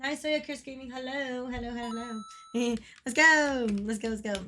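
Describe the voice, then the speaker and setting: A young adult woman streaming a game, chatting casually with a slightly hoarse voice, laughing often and rambling with lots of fillers. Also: high voice, steady tone, normal speed, sharp tone. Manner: giggly, rambling, filler-heavy. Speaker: livestreamer. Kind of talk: casual chat while gaming.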